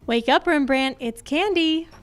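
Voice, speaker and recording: Casting: actress as wisecracking female detective for radio mystery, breezy, sassy, fairly high voice. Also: unhurried, heavy background noise. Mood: teasing. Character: wisecracking glamorous female private eye. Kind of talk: old-time detective radio acting.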